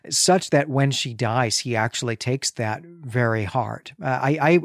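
A man co-hosting a podcast, reasoning through a literary discussion in a deliberate, reflective, conversational way. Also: mid-range voice, no echo, studio quality. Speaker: podcast co-host.

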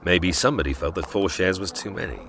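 A man's voice: low-pitched, fast, fairly bright tone, a little hiss or hum. A man playing a suave, teasing, unruffled leading man in a romantic radio mystery. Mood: wry. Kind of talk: radio drama acting.

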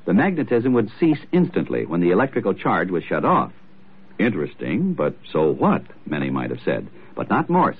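A man's voice: low voice, wildly swooping pitch, normal speed, husky. A man reading a scripted educational piece as a 1940s radio announcer. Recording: tinny, narrow old radio recording.

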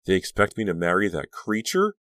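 A man's voice: low-pitched, wildly swooping pitch, fairly quick, no echo, studio quality. A man voicing a frightened, desperate teenage girl in a storybook, defiant and disbelieving, shrieking and demanding.

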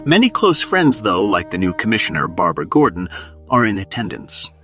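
A man narrates an audio drama in a fairly low voice. His reading is scripted and dramatic, with music clearly playing behind it. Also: big pitch swings, brisk, a bit bright.

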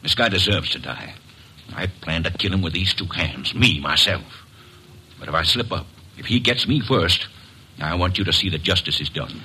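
An actor playing a burly, vengeful man who speaks bluntly and gruffly in a 1940s radio drama. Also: deep voice, animated, moderate pace, pushed and bright, exaggerated voice, low-quality recording. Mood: grim, insistent. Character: big burly bearded man, grim and vengeful.

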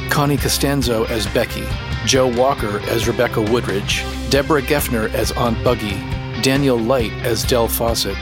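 A man announcer giving a scripted read of podcast credits. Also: mid-range voice. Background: guitar music.

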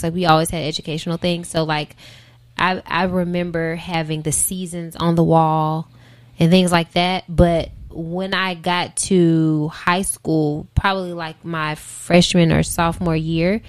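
American woman with a lowish voice, talking casually. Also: flat delivery, unhurried. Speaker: interview guest, singer and rapper. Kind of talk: casual conversational interview.

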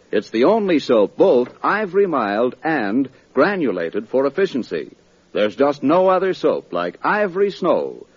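Husky, very animated male ad announcer. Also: mid-range voice, dry recording. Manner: emphatic, persuasive salesmanship. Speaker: commercial announcer. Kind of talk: 1940s radio advertisement. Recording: muffled old radio broadcast.

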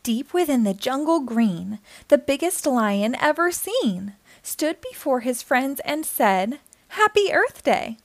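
A woman reading a children's picture book aloud as a storytime host, in an expressive scripted style. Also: high-pitched, slow pace, hardly any pauses, no echo.

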